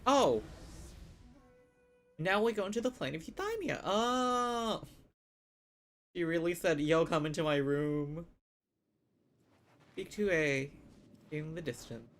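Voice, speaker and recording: A woman's voice: on the low side, slow pace, long pauses. A woman game streamer giving casual, playful, reactive live commentary and reading dialogue choices aloud.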